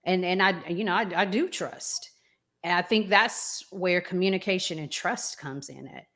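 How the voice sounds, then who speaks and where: A woman hosting a casual discussion, talking in a measured, deliberate way with pauses. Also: on the low side, a bit bright. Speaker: host.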